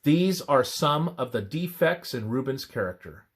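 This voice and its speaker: A man reads a scripted religious text aloud as devotional narration, in a measured, emphatic scripture-reading manner. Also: medium pitch, expressive.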